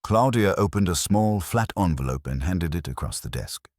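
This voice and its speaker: Male audiobook narrator for vintage whodunit: bottom-register voice, animated intonation, dry sound. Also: sits low, normal speed, no echo. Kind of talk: scripted narration of a vintage detective story.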